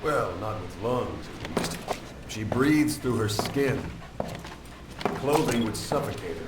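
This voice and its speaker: An actor playing a sombre military officer in a video game cutscene, speaking in measured, clipped phrases. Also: male voice, on the low side, lively intonation, echoey room, recorded from a distance, very noisy. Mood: grave, guarded. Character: sombre male military intelligence officer explaining a strange prisoner.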